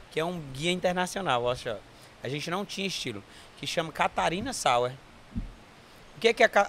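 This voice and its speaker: A man's voice: on the high side, a bit bright, slight background noise. A man from Ceará with a Cearense accent, a brewery founder telling stories as an enthusiastic interview guest. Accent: Cearense Portuguese. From Ceará, Brazil. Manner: enthusiastic storyteller, frequent tag questions. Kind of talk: casual interview chat.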